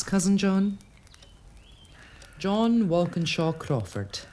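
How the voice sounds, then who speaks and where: Casting: a woman as a noblewoman, low voice, very lively intonation, measured and reproachful. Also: full character voice. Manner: measured, expressive reading of old documents. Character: Scottish noblewoman, searching and reproachful. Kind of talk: dramatic narration and monologue.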